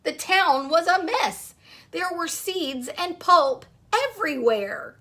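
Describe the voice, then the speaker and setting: High-voiced woman reading slowly to children. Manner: expressive, addresses young listeners with questions. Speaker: storyteller reading aloud to children. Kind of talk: children's picture book read-aloud with asides.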